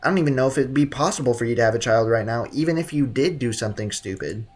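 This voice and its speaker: A man's voice: mid-range voice, animated, fast talker, soft background music. A man hosting a casual game livestream, commenting and reading chat with blunt, teasing banter. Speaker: livestream host.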